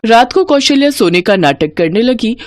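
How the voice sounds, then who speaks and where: A woman narrating a scripted story in a dramatic, expressive storytelling manner. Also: on the high side, moderate pace, slight background noise. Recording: music bed under the voice. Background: background music.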